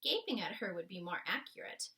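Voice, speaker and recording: A woman's voice: mid-range voice, very animated, fairly quick, sharp tone. A woman reading a children's book aloud as narrator, with dramatic, emphatic storytelling. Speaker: storybook reader.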